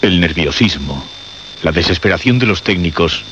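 A man giving solemn, suspenseful horror narration with dramatic pauses and repetition. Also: low-pitched, lively intonation, background noise. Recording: muffled radio recording with music behind.

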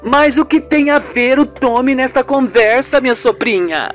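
A woman's voice: high voice, expressive, normal speed, soft background music. A woman playing a confused, protective aunt in an amateur radio soap, speaking in questions and exclamations.

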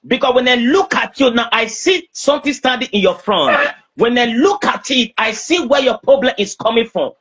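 Husky preacher, insistent and repetitive. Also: male voice, extremely high pitch, very animated, moderate pace, hardly any pauses, fairly bright tone. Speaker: preacher, self-styled prophet. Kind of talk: prophetic prayer ministry over a video call. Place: small indoor room. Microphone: phone at arm's length on video call.

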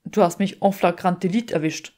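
A woman's voice: on the low side, brisk, mellow, lightly acted. A woman voicing a haughty young aristocratic man in an audiobook, teasing in a dry, mock-dramatic way.

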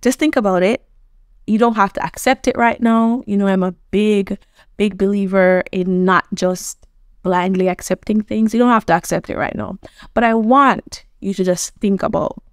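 A woman's voice: medium pitch, no room sound, clean studio sound. A woman from Jamaica, hosting a motivational self-improvement talk, earnest and conversational, often checking in with the viewer. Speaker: self-improvement coach and host.

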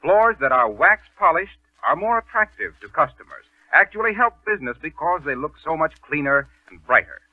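A man's voice: a little high, big pitch swings, moderate pace, hardly any pauses, no echo. A man announcing a 1930s radio advertisement in a polished, formal sales style. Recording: tinny, band-limited 1930s radio recording.

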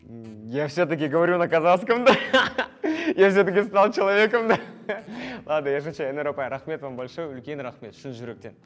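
A man's voice: a little high, a little fast. A young man from Kazakhstan in a studio interview, chatty and joking, wearing a lapel mic. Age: young adult. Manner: jokey, animated, laughs at himself. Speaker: show participant. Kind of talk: casual interview. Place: studio with grey backdrop.

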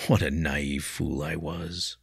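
A man's voice: a bass voice, steady tone, takes it slowly, gravelly, slightly rough, no echo, clean studio sound, full character voice. A man playing a remorseful young nobleman in an audiobook story, speaking heatedly and bitterly with mirthless resolve.

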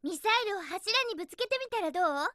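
A spirited, quick-tempered young hedgehog girl from a video game. The voice is extremely high-pitched and bright, with a close, dry sound. She speaks in a perky, emphatic way with a bossy, feisty edge. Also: animated, dry recording, heavily acted. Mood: focused. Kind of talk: Japanese video game character voice clips.

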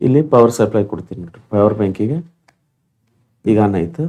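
Mellow-voiced man. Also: on the low side, fairly quick. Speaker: tutorial presenter. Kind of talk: informal step-by-step demonstration.

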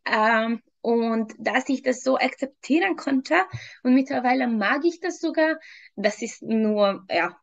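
Woman in her thirties, Hungarian accent, slightly high voice, hesitant with many ums, video call. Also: highs cut off. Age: 30s. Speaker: interview guest, fitness trainer. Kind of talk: casual interview answers. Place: home room.

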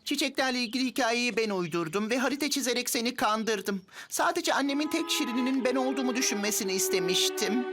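A woman's voice: fairly high pitch, animated, quick pace, hardly any pauses. A woman voicing a mischievous young boy in a children's cartoon, with a dramatic, childlike delivery. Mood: sheepish. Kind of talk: dubbed children's cartoon dialogue. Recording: loud music under the dialogue.

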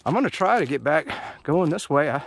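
A man's voice: on the high side, animated, brisk, vocal fry, no room sound. Rambling middle-aged man on a forest walk. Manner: rambling, self-mocking, many fillers. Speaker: hiking vlogger. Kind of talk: casual walking commentary. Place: forest trail outdoors. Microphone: phone camera held while walking.

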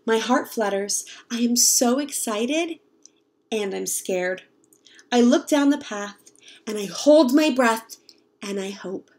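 Storybook role: eager, hopeful little girl, expressive, higher-pitched read by a woman. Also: slow. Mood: hopeful, wistful. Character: excited, hopeful young girl narrating a picture-book story.

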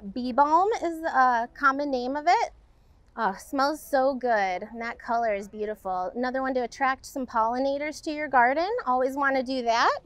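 Chatty woman, kinda high voice, lots of ums, showing off plants outside at a garden center. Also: a bit bright. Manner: chatty, upbeat, frequent "um" fillers. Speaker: garden center staff presenter. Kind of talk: casual plant walkthrough. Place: outdoor garden center on a sunny day.

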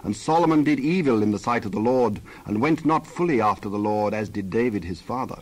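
A man narrating scripture as a scripted dramatized reading, measured and solemn, with careful phrasing. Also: lowish voice, animated, low-quality recording.

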